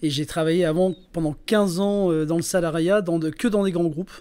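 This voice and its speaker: A man in his fifties from northern France sits as a podcast guest and talks about his working life. His voice sits a little high for a man. He hesitates often and fills the gaps as he goes. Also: steady tone, fairly quick, dark tone, clean tone. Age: 50s. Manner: hesitant, many fillers and restarts. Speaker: podcast guest, entrepreneur and performer. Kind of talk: casual interview talk.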